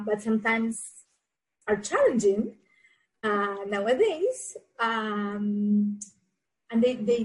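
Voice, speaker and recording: A friendly woman in her forties from Argentina, a guest on a podcast. Her voice sits a little high, and she talks in a halting way with plenty of fillers. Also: low-quality recording. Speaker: guest, business owner. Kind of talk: interview conversation.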